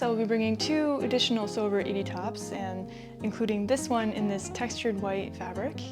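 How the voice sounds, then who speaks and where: An American woman in her thirties from the East Coast, chatting casually and excitedly to viewers as a vlogger. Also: medium pitch. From Connecticut, US East Coast. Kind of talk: casual vlog talk to viewers.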